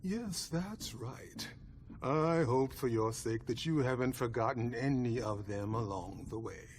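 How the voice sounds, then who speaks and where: An actor playing a suave, formal vampire clan leader in a video game, with courtly, measured politeness and quiet menace. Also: male voice, mid-range voice, close to an everyday voice. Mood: polite menace. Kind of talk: dramatic video game acting.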